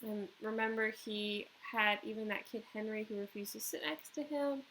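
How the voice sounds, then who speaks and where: Female teacher-narrator, medium pitch, children's read-aloud with explanatory asides, noisy home-style recording. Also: fairly bright tone. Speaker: teacher reading aloud to pupils.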